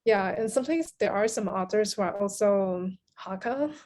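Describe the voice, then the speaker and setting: A woman's voice: medium pitch, animated, normal speed, darkish tone, slight background noise. Taiwanese-accented woman, interview guest. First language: Mandarin Chinese. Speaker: podcast guest, literary translator. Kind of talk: conversational interview.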